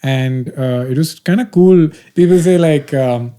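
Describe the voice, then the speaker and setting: Man hosting, dark mellow voice. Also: on the high side, a little slow, smooth. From India. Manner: playful, teasing, with many fillers and restarts. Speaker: podcast host. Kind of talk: casual chat.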